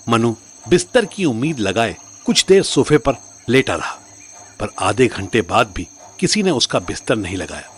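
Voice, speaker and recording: A man's voice: mid-range voice, slight background noise. A man narrating a scripted story in a dramatic storytelling style.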